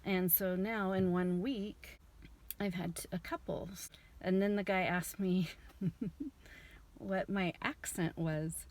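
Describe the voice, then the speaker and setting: Slow-talking chatty woman vlogger. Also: fairly low pitch, steady tone. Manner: rambling, chatty storytelling with fillers. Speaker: vlogger reselling scrap. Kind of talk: casual vlog chat. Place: at home, garage and car.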